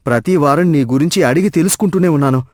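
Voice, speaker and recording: A man's voice: on the high side, fast talker, a little mellow, no echo, clean studio sound, close to an everyday voice. A man voicing an indignant, devoted adult son in a cartoon story, speaking in emphatic questions. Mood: shocked, indignant. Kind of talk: moral story cartoon voice acting.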